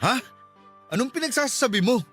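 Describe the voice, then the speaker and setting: Unfaithful male lover, defensive, pleading, high tense voice, radio play, light music behind. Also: animated, a little fast, bright, forceful tone, heavily acted. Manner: defensive, insistent. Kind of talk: radio drama acting.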